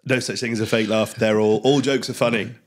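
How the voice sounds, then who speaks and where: Guy with a cold, bit stuffy, low voice, jokey podcast host. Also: steady tone. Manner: joking, quick-witted. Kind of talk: casual podcast chat.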